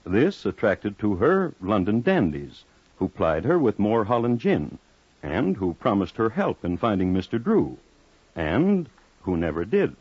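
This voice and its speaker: A man's voice: fairly low pitch, huge pitch swings, unhurried, darkish tone, breathy edge, no echo. A man narrating an old-time radio crime drama as a wry, formal storyteller. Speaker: narrator and host. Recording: dull lo-fi old radio recording. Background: music.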